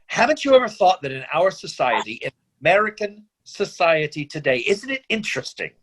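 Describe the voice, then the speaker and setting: Earnest wandering father, very animated, higher-pitched actor. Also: fairly quick, exaggerated voice. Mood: pleading. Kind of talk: staged readers theatre reading.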